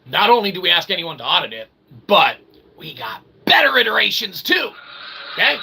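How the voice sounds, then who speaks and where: Guy with a kinda high creaky voice, rambling slangy monologue, noisy recording. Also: very animated, fairly quick, sharp tone. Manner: folksy, repeatedly checking in with "okay" and "all right"; irreverent, slangy, mock-grand wording. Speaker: independent researcher and vlogger.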